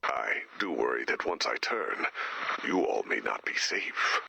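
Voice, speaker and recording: Gravelly, ominous werewolf-cursed director. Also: male voice, extremely low pitch, very animated, a little slow, sharp tone, exaggerated voice. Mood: worried, grave. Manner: ominous, dramatic, earnest. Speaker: director of a base. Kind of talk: animated video game voice acting.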